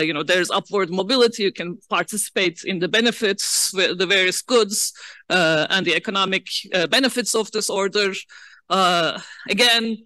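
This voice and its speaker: Bright, measured woman panelist. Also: medium pitch, no echo. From Turkey. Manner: measured, frequent "uh" fillers, occasional soft laughs. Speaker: panel speaker. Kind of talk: academic roundtable remarks. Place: large lecture hall.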